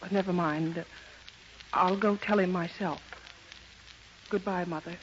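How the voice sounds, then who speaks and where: An actress playing a refined, earnest society wife with a genteel, theatrical delivery in a 1930s radio drama. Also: female voice, on the low side, fairly even intonation, unhurried, lightly acted. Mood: flustered. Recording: muffled, noisy 1930s radio recording.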